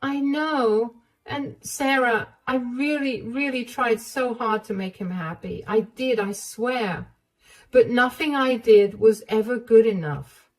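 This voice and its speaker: A woman's voice: on the high side, takes it slowly, far from the mic, voice pushed up, rough recording. A woman voicing a distressed young wife, pleading, shaky and apologetic in a storybook tale. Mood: desperate.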